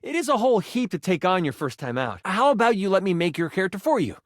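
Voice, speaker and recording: An eager, geeky living die who runs fantasy adventures as a video game character. The voice sits high and swings widely in pitch, full of theatrical storyteller flourish and excitable nerdy zeal. The sound is dry and close. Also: male voice, fast talker, no echo. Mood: eager explaining. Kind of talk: animated video game voice acting.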